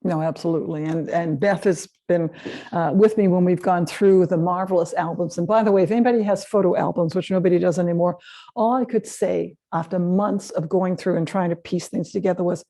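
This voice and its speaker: A woman with a fairly low, mellow voice gives a history talk and answers questions from a live audience. She speaks conversationally and keeps affirming listeners with quick "yeahs". She often breaks off and restarts her sentences. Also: fairly even intonation. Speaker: presenter of a local history talk. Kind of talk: lecture and audience Q&A. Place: meeting room with a live audience.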